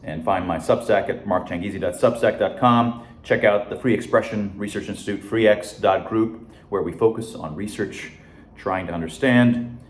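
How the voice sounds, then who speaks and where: A man's voice: fairly low pitch, moderate pace. A man in his fifties hosting a science show, talking casually alone, rambling with many fillers and restarts. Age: 50s. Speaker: science podcast host. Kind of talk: casual solo monologue. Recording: echoey room with faint noise.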